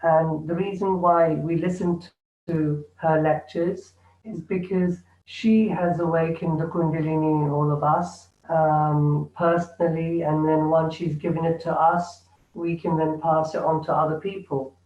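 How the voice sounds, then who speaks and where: A man leading an online meditation session, speaking in a calm, gentle and inviting way over a video call. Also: a little high, unhurried. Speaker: meditation group leader. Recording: echoey, distant, tinny video call audio.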